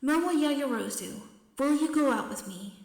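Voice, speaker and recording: A woman's voice: high-pitched, expressive, normal speed, vocal fry, pitched above the performer's own voice. A woman voicing an earnest teenage girl in a story, speaking in a formal, declaratory way through a reverb effect. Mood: earnest, bold. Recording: echoing, long hall-like reverb.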